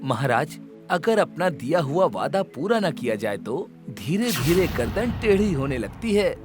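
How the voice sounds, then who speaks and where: Sly, teasing cartoon courtier, raised voice, music under it. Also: male voice, fairly high pitch, big pitch swings, a little slow. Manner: playful, teasing, persuasive. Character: witty clever male royal courtier. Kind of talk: animated storybook voice acting.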